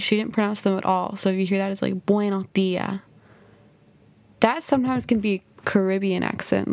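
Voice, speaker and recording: A woman's voice: medium pitch, vocal fry, darkish tone, no room sound. Chatty young woman, dry close sound. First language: English. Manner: chatty, thinks aloud, many "kind of" and "like" hedges. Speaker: podcast host. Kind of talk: casual solo podcast.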